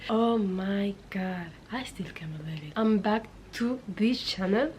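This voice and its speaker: A woman's voice: medium pitch, unhurried, a little mellow, a little hiss or hum. A young woman from Senegal, not a native English speaker, chatting upbeat to her camera as a YouTuber, repeating phrases for emphasis. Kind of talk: casual talking-to-camera vlog.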